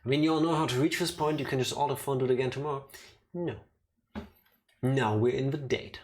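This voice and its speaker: A man's voice: medium pitch, steady tone, a little fast. A man giving casual, teasing commentary as a let's play streamer, with a wry way of talking. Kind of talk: casual joking game commentary.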